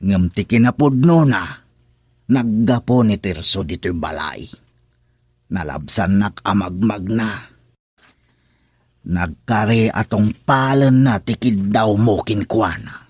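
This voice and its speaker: A man with an Ilocano accent playing a calm, concerned older father in a radio drama, speaking deliberately. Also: fairly low pitch, husky, no echo, studio quality.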